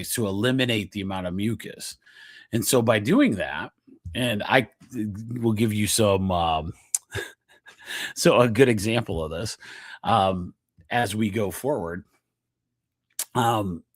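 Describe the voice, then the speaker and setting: A man's voice: on the low side, no echo, studio quality. A middle-aged man hosting a chiropractic health podcast, with a nasal voice, talking in a chatty, rambling way and often checking in with the listener. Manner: chatty, digressive, laughs often, checks in with "okay?". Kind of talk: casual health talk.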